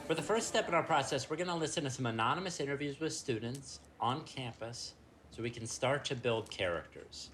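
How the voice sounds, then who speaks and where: A man's voice: medium pitch, lively intonation, brisk, a bit bright, roomy sound, recorded from a distance. A man leading a theater workshop, giving easygoing instructions to a group. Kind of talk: guiding a group exercise.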